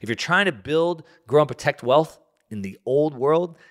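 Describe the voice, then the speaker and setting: A man's voice: on the high side, animated, normal speed. American guy doing his solo show, chatty and earnest, lots of um and uh. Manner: conversational, restarts and fillers, earnest. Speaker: podcast host. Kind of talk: casual monologue, solo show.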